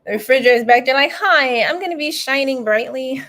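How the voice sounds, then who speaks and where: A woman's voice: sits high, a little fast, fairly bright tone. Giggly, teasing woman co-host. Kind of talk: casual livestream chat. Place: home room with a slight echo, on a video call.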